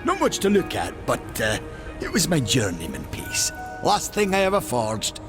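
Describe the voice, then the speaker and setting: An actor playing a good-natured young giant blacksmith in a video game, folksy and earnest. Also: male voice, medium pitch, huge pitch swings, moderate pace. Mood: friendly, hopeful. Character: eager good-natured young Maker smith, brawny giant. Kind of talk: video game character acting. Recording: game music behind.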